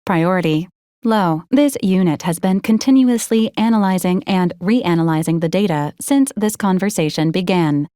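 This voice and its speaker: A woman playing a formal, dutiful female ship's AI in a video game, speaking in a measured, clipped, impersonal way. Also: lowish voice, dark tone, dry recording, studio quality, natural voice. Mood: formal, matter-of-fact. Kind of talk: scripted video game voice acting.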